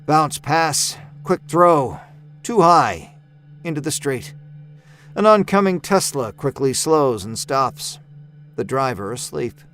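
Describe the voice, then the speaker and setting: A man's voice: fairly high pitch, very animated, slow, a bit bright, faint music behind. A man narrating a scripted first-person horror story in a wry, understated, dramatic way. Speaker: audio fiction narrator. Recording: narration over musical score.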